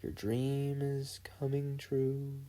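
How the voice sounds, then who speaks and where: A story narrator voicing an older great-uncle who half-sings a slow, lilting karaoke song. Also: mid-range voice, completely flat delivery, mellow. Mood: solemn, lilting. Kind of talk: slow half-sung delivery.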